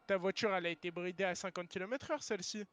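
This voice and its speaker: A man's voice: high voice, fast, fairly bright tone. A man arguing bluntly and in exasperation in online game voice chat, as the server's founder. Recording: clearly noisy game voice chat.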